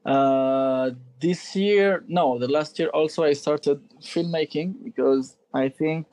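A man's voice: fairly high pitch, slow pace, darkish tone, no echo. A man in his twenties from Algeria, a photographer guest chatting casually, hesitant with many fillers and restarts. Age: 20s. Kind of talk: casual interview chat.

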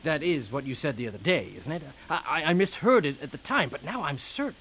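A man's voice: a little high, big pitch swings, a little fast, a bit bright. An actor in a radio drama playing a chatty, eager scholarly man with a hesitant, fussy way of talking. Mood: eager, excited.

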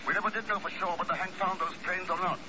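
Actor as suave high-pitched male agent, noisy radio. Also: steady tone, fast talker, close to an everyday voice. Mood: cool, wry. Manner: wry, unruffled. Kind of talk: 1950s radio drama acting. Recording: muffled, noisy old radio recording.

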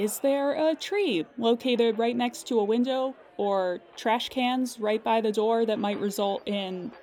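Woman playing a calm, patient hotline operator. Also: a little high, unhurried, lightly acted. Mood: calm, polite. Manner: calm customer-service politeness with dry exasperation. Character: weary, patient female emergency hotline operator. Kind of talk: audio comedy drama acting.

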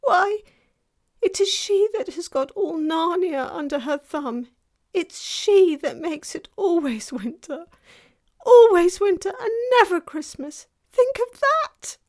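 A woman voicing a gentle, guilt-ridden male fawn in an anguished, self-reproaching, melodramatic way for a children's storybook. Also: very high voice, sing-song, slow, voice pushed up, exaggerated voice. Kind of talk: dramatized children's storybook reading.

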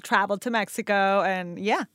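A woman's voice: medium pitch, moderate pace, no room sound, studio quality. A woman from Venezuela with a Venezuelan Spanish accent, a native Spanish speaker, talking animatedly in a casual interview about her acting and hosting work. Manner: animated, chatty, frequent restarts and laughter. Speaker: actor and TV host guest.

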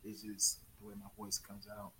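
A soft-spoken man with a smooth voice who rambles casually, filling his talk with many "you know"s. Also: fairly low pitch, monotone, moderate pace, slight background noise, low-quality recording. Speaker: guest. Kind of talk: casual group discussion.

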